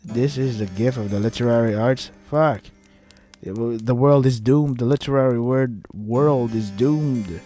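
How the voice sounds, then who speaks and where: A man with a dark, mellow voice of average pitch reads a visual novel aloud as a let's play host. His commentary is irritated and sarcastic, loaded with swearing. Faint music runs behind him. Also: unhurried.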